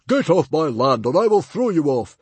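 A man's voice: a little high, sing-song, normal speed, darkish tone, husky, no echo, voice pushed up. A man voicing a big, blustering Viking chief in a storybook reading. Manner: roaring, defiant.

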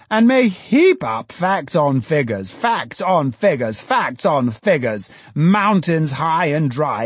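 A man voicing a fussy, scornful middle-aged male economist in a storybook reading, high and exclaiming. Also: breathy edge, dry recording, heavily acted. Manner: high-pitched, exclaiming, contemptuous.

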